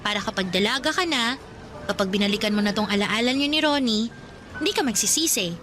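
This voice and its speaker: A woman's voice: mid-range voice, lively intonation, a little fast, fairly bright tone, soft background music, lightly acted. An actress playing a sentimental older girl cousin, confiding and encouraging, in an emotional Tagalog radio drama.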